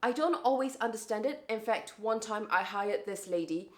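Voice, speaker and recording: A woman's voice: fairly high pitch, lively intonation, normal speed. Woman with a light Singaporean accent. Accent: lightly Singaporean English, internationally smoothed. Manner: chatty, with frequent restarts and self-corrections. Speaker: vlogger. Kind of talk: casual reflective monologue.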